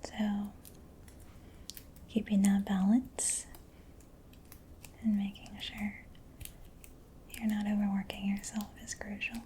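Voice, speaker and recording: A soft-spoken woman in a breathy whisper, gently and reassuringly playing a caring mechanic in an ASMR roleplay. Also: medium pitch, little pitch movement, slow pace, pauses often, a little hiss or hum. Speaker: ASMR performer.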